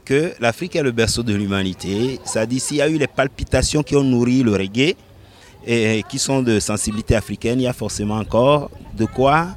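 A man from Benin answering interview questions about his music, unhurried, pausing often and laughing lightly between thoughts. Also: medium pitch, slight background noise. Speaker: reggae musician.

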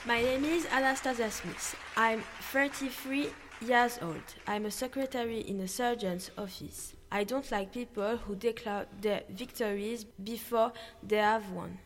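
A teenage girl playing a quiz contestant, answering calmly and plainly. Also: fairly high pitch, unhurried, few pauses, big-room sound, a little hiss or hum. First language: French. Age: teen. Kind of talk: school radio role-play.

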